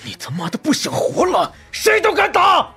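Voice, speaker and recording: A man voicing a fierce, commanding hothead in an audiobook, with a husky, strained voice. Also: extremely high pitch, huge pitch swings, normal speed, sharp tone, shouting, soft background music, voice pushed up, exaggerated voice. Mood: angry. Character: fierce, commanding hot-headed man, protective and outraged. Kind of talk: dramatised audiobook character acting.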